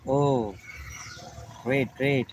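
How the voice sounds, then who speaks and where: A man from Pakistan with a Pakistani accent, chatting in simple, halting English, repeating friendly phrases and greetings. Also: medium pitch, steady tone, a little mellow, a little hiss or hum. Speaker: vlogger chatting with strangers. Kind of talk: casual friendly chat.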